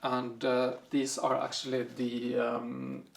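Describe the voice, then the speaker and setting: Man, gravelly, mid pitch, first language Swedish, casual book vlog, hesitant fillers. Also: completely flat delivery. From Sweden. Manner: reflective, with frequent fillers and pauses. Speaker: book vlogger.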